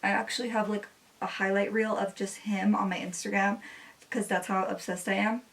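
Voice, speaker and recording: A woman's voice: mid-range voice, level tone, moderate pace, gravelly, distant mic, noisy background. A woman in her twenties, a native Spanish speaker, chatting casually while doing her makeup, with many "like" fillers. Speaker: beauty vlogger.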